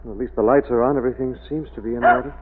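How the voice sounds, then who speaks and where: An actor playing a suave, calm young gentleman sleuth in an old radio drama, urbane and reassuring. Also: medium pitch, racing, lightly acted. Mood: intent, concerned. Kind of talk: 1940s radio drama acting. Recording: tinny noisy old radio recording.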